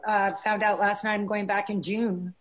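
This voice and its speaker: An actress guest in a casual interview, talking animatedly in bursts with restarts. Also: female voice, mid-range voice, little pitch movement, normal speed, a bit bright.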